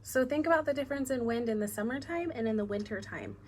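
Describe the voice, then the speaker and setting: A woman's voice: on the high side, animated, fast talker, a little mellow, slight background noise. A woman teacher doing a read-aloud lesson for young children, instructive and often asking them questions. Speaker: elementary school teacher.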